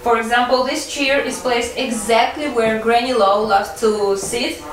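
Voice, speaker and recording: Excited young woman vlogging outdoors. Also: a little high, a little slow, poor audio. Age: young adult. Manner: excited, chatty, with many "like"s and restarts. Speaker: travel vlogger. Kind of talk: casual travel vlog talk to camera. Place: outdoors on a farm and inside a small historic house.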